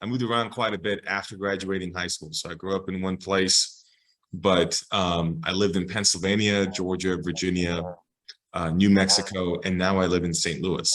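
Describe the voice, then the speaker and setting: Low-voiced American man telling his story. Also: fairly even intonation, moderate pace, a bit bright, low-quality recording. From Los Angeles, United States. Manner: informal, explanatory, often checking with listener. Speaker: public historian, interview guest. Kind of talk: conversational interview storytelling.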